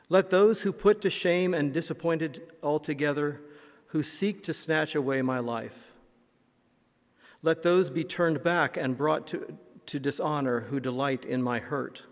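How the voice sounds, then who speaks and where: A man, a pastor, reading scripture and leading a prayer in a solemn, measured way. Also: fairly high pitch. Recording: reverberant church hall.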